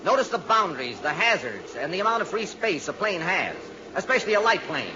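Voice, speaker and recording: An American actor playing a brisk, insistent insurance man who flies planes, urgent and persuasive, in vintage radio drama style. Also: sits high, big pitch swings. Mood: brisk, instructing. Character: brisk, insistent male insurance adjuster and pilot. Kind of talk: 1940s-50s radio drama acting. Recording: muffled, noisy old radio recording.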